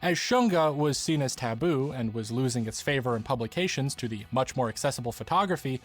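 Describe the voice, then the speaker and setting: A man's voice: medium pitch, animated, a little fast, soft background music. A man reading scripted explanatory narration as the narrator of a video essay.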